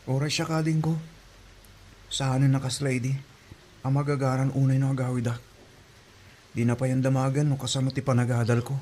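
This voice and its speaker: A protective big brother from a radio-style drama played by a man. His voice is mid-pitched and a little raspy, with an Ilocano accent and a concerned manner. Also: flat delivery, moderate pace, pauses often, dark tone, natural voice. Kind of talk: Ilocano audio drama acting.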